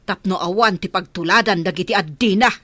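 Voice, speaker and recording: Radio drama role: unforgiving scolding mother, forceful bright voice, close dry sound. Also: medium pitch, brisk, no room sound. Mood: bitter, scolding. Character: stern, unforgiving mother scolding about her daughter.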